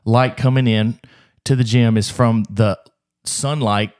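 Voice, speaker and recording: A man in a podcast chat, telling the tale of his early business in a brisk, rambling way. His voice sits at an average pitch, and he throws in a curse now and then as he goes. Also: fairly even intonation, darkish tone, clean tone. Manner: fast, rambling, swears freely. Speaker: gym owner, podcast host. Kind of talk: casual podcast storytelling.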